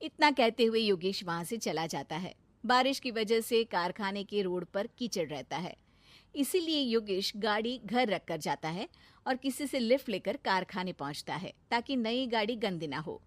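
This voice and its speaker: A woman narrating a moral story in a clear, steady storytelling manner. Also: mid-range voice, normal speed, no room sound.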